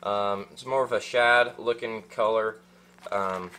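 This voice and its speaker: A man's voice: fairly low pitch, steady tone, slow pace, background noise. A young man hosting a fishing channel, unboxing gear in casual chat with lots of ums and uhs, seated in a small room. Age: young adult. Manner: rambling, many ums and uhs, restarts.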